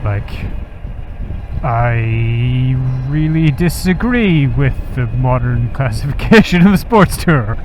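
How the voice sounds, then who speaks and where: A man vlogging in a casual, rambling monologue while riding a motorcycle, thinking aloud with hesitant pauses, sounding a little sniffly from the cold. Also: mid-range voice, animated. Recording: muffled audio, loud wind and engine noise. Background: wind, road and motor vehicle noise.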